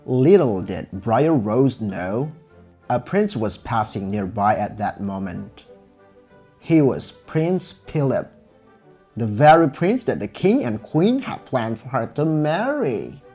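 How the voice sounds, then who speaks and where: A man's voice: mid-range voice, big pitch swings, slow, warm tone, breathy edge, faint music behind. A man narrating a scripted fairy tale for children as a storyteller.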